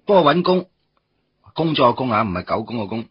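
A man's voice: mid-range voice, sing-song, fast talker, slightly rough. An actor playing a joking young man, eager to please, in a radio drama. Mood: flustered joking. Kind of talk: Cantonese radio drama acting. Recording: dull radio drama recording over music.

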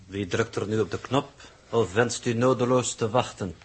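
A man's voice: lowish voice, normal speed, a little hiss or hum, close to an everyday voice. A man playing a cold, precise, insistent coordinator in a 1960s Dutch radio drama, formal and detached, with a Netherlands accent.

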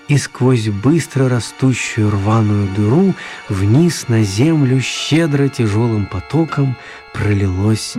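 A man's voice: medium pitch, darkish tone, close to an everyday voice. A man acting as the narrator of a children's story, in scripted storybook narration. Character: male storyteller of a children's tale.

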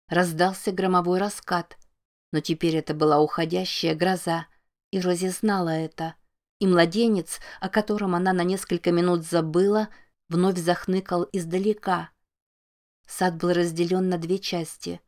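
A woman reading a novel aloud as an audiobook narrator, in a calm, measured way. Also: lowish voice.

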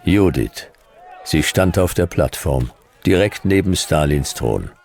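A man playing a world-weary detective, narrating a radio play in terse, dry, clipped phrases with a gravelly voice. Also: rumbling low, animated, slow pace, a little mellow, exaggerated voice. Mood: dry, laconic. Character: world-weary male detective narrating his own adventure.

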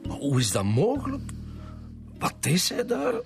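An actor playing a shocked, disbelieving Flemish man who speaks haltingly, in a naturalistic radio drama. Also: fairly high pitch, huge pitch swings, vocal fry, music in the background, exaggerated voice. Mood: shocked disbelief. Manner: halting, incredulous. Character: shocked, disbelieving adult son of murdered woman.

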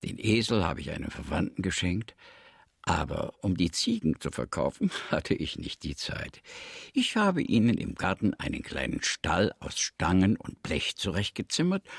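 Deep gravelly old man, pious and expansive. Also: dry recording, clean studio sound, heavily acted. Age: older. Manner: expansive, storytelling, declamatory. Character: talkative, pious old villager grown rich, eagerly hospitable. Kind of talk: radio drama acting.

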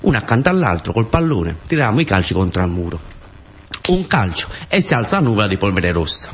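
A man's voice: on the low side, big pitch swings, fast, husky. A man with a southern Italian accent performing a theatrical storytelling monologue in short, colloquial, repeated phrases. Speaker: actor and storyteller.

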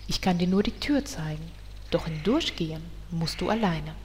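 A woman's voice: on the low side, expressive, normal speed, big-room sound, slight background noise, lightly acted. An actress playing a solemn female elf priestess with a grand, mock-solemn prophetic delivery.

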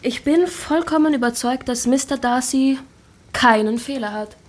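An actress playing a witty, spirited young Regency gentlewoman in a radio drama, articulate, playful and ironic. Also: high-pitched, moderate pace. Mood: ironic, challenging. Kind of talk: naturalistic German radio drama acting.

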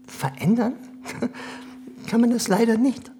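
An actor playing a cynical, condescending middle-aged German privy councillor in a radio play, with smooth talk and hesitant fillers. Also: male voice, very high-pitched, slow, exaggerated voice.

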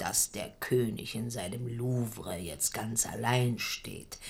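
An actress playing an old, bent and bitter queen mother in a radio drama, with a gravelly, often whispered voice. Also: a bass voice, darkish tone, full character voice. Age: older. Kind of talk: 1970s radio drama acting.

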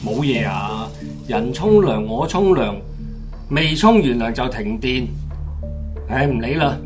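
A man's voice: mid-range voice, lively intonation, measured pace, close to an everyday voice. A man playing an irritable, blustering sports coach who talks indignantly, in a Hong Kong Cantonese radio drama. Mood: grumbling, puzzled. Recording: music behind the voice.

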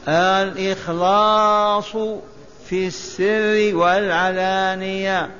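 A man from Algeria teaching as a religious scholar. He chants short memorized lists in a drawn-out, sing-song recitation and has his listeners repeat after him. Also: sits high, little pitch movement, pushed and bright, clean tone. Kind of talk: lecture teaching by recitation and repetition. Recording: echoey hall, dull lo-fi sound, faint hiss.

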